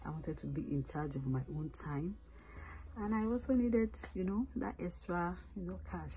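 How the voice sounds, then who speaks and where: A woman's voice: sits low, dark tone, low-quality recording. A woman from Nigeria giving an upbeat, enthusiastic personal testimonial. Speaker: online business owner.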